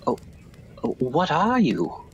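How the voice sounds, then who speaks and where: A startled, blustering gentleman acted by a man in a radio play. He draws out his breathless, incredulous exclamations with extreme pitch swings. There is faint noise behind the voice. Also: huge pitch swings, slow, lo-fi sound, heavily acted. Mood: baffled, astonished.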